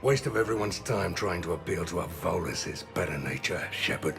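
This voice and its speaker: An actor playing a gruff, cynical mercenary with a gravelly voice in a video game. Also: male voice, deep voice, lively intonation, moderate pace, music in the background. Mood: scornful.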